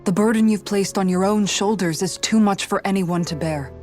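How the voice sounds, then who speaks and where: A woman's voice: mid-range voice, normal speed, darkish tone, faint music behind, natural voice. An actress playing a loyal woman warrior in a video game, frank and heartfelt in the way she talks. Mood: tender, frank. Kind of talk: dramatic video game voice acting.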